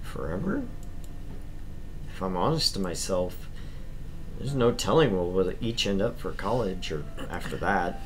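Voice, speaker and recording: A man's voice: sits low, expressive, a little slow, long pauses, slight background noise. A man playing a wry, caring, self-deprecating teenage boy in a visual novel, speaking casually and expressively. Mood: tender, hesitant. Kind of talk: visual novel dialogue and first-person narration.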